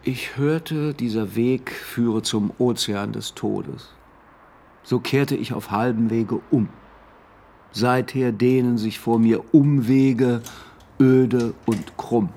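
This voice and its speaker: An actor playing a sober, philosophical man in a radio drama, speaking in a composed, deliberate, lecturing way. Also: mid-range voice, creaky, mellow. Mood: melancholy. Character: sober, philosophical male friend, calmly explaining grim cosmic laws. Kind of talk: German radio drama dialogue.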